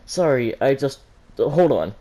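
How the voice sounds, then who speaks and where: A flustered teenage girl in a story, acted by a man, anxious and stammering. The voice is pushed up a little from a low, mellow base and blurts things out in bursts. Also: lively intonation, a little slow. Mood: panicked, embarrassed.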